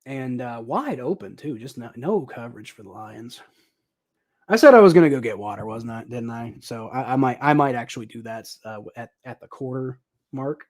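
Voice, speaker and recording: Casual young man calling football, slightly raspy. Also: mid-range voice, animated, normal speed. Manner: rambling, thinking aloud with many ums and uhs. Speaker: livestream host commentating football. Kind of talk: casual live play-by-play commentary.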